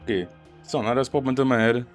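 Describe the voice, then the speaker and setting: A man's voice: medium pitch, fast talker. A man giving casual playthrough commentary on a game story, musing aloud and repeating himself as he reacts. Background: game music under the voice.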